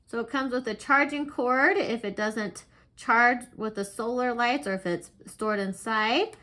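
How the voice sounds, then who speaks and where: A woman with a fairly high-pitched voice presents a product in a casual review style. She explains each part plainly, step by step, as she demonstrates it. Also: a little slow, sharp tone.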